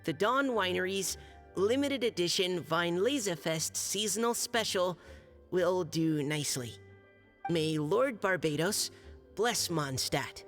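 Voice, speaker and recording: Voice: mid-range voice, a bit bright. An actor voicing a shy, nervous young knight boy in a video game, polite and hesitant, with a stammer.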